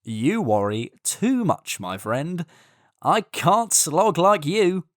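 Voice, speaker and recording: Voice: medium pitch, sing-song, slow pace, dry recording, studio quality, pitched above the performer's own voice. A storyteller voicing a lazy, merry male grasshopper in a children's tale, teasing and dismissive in a sing-song way. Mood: breezy, dismissive.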